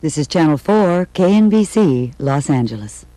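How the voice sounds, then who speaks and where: A man's voice: on the high side, very animated, moderate pace, warm tone, a little hiss or hum, poor audio. A man doing a station announcer's news introduction, taped off air in the 1970s. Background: music.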